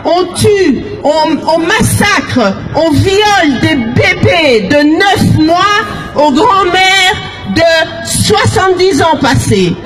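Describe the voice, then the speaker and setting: Woman from congo shouting a slow fiery speech, high voice, crowd and music behind. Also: poor audio. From Democratic Republic of the Congo. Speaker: activist speaker. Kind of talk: impassioned public speech. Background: crowd noise and music.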